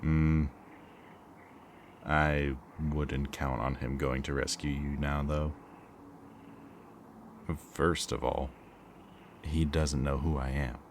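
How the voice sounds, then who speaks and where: A man playing a smug, taunting kidnapper villain in an audio roleplay, with a mocking, theatrically drawn-out delivery. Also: rumbling low, little pitch movement, slight background noise, exaggerated voice. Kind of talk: scripted audio roleplay, ASMR.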